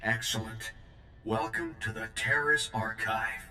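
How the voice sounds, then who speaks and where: An actor playing an ancient, formal, literal-minded security droid in a video game, with robotic processing on the voice. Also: male voice, lowish voice, a little slow, reverberant. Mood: formal, flat. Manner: formal, procedural, flat delivery. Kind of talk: game character dialogue.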